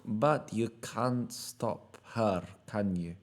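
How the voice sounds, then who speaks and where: An actor playing a bitter, foul-mouthed dying rogue in a fantasy audio drama, sardonic and accusatory. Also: male voice, fairly low pitch, very slow. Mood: weary, bitter.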